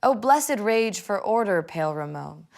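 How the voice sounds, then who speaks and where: An American woman in her twenties, speaking and reading poetry with measured, deliberate phrasing. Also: medium pitch, lively intonation, gravelly, a little mellow. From United States, Illinois. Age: 20s. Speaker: law student reading a poem. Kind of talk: personal reflection and poetry reading. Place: indoors, furnished living room.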